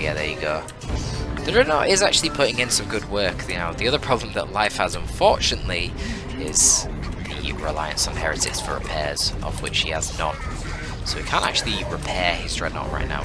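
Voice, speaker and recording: Low-pitched man casting a strategy game. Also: expressive, fairly quick, over loud music. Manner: candid, analytical, casually sweary. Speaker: esports match caster. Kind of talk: live strategy game commentary.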